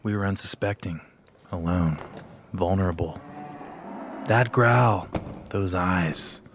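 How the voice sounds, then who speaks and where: An actor playing a cocky, self-adoring adventurer in a comedic fantasy audio drama. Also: male voice, deep voice, takes it slowly, slight background noise. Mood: boastful storytelling. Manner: boastful, swaggering, self-satisfied. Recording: busy sound effects behind voice.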